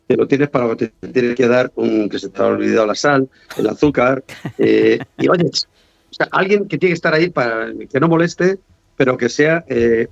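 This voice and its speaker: A man's voice: mid-range voice, vocal fry, no echo, dull audio. A man with a Castilian Spanish accent giving teasing, sarcastic, rambling sports banter as a guest pundit over a remote connection. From Spain.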